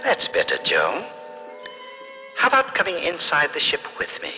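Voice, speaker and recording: An actor playing a friendly, polite and reassuring alien creature in an old radio drama. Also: male voice, on the high side, huge pitch swings, moderate pace, bright, forceful tone, heavily acted. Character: friendly, calm extraterrestrial in fuzzy orange spacesuit. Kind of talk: 1950s radio drama acting. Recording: old radio recording, long reverb, music.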